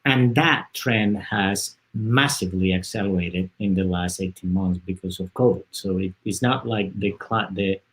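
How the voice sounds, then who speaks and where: A man from southern Spain speaks as a guest on a panel video call. His voice sits fairly low. He talks fluently, though he often restarts and fills pauses as he explains. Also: low-quality recording. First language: Spanish. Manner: fluent, many fillers and restarts. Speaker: panel guest, technology executive. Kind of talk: conversational panel talk.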